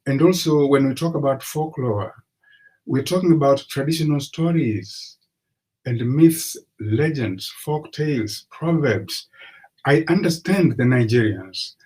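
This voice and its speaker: Husky older man, measured professor. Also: medium pitch, animated, darkish tone, poor audio. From Tanzania. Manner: measured, agreeable. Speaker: professor guest. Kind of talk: video call interview. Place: study with bookshelves.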